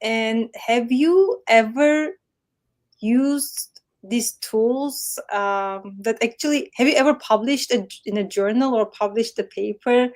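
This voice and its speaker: Woman on a video call, fairly high voice, moderating, long rambling questions. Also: slow, darkish tone. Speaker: workshop moderator. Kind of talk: moderating an online Q&A.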